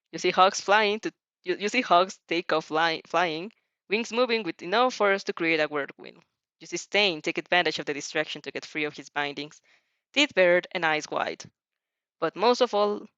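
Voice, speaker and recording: A woman reading fan fiction aloud as narrator, giggly and animated, often tripping over words and restarting. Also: on the low side, no echo. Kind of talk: animated story reading with asides. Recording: dull lo-fi microphone.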